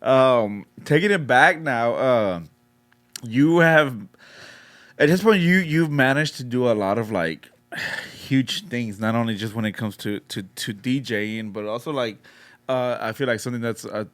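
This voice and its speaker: A man's voice: mid-range voice, a little slow, a bit bright. A man hosting a podcast, asking rambling questions with many restarts and fillers. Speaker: podcast host. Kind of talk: casual interview chat.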